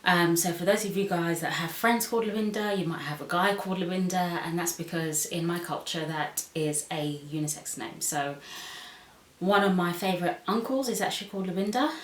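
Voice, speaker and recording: A woman's voice: fairly low pitch, fairly quick. Chatty vlogger woman, lotta ums, kinda far from the mic. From Zambia. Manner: chatty, many "um"s and "so"s. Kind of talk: casual vlog, reading questions. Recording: echoey room, far from mic.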